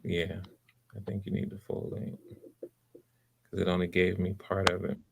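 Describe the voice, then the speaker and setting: A man hosting live auditions in casual, unscripted chat, with an encouraging manner and a halting way of repeating and backtracking. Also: low-pitched, completely flat delivery, gravelly, background noise. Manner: encouraging, supportive. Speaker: host running live voice auditions.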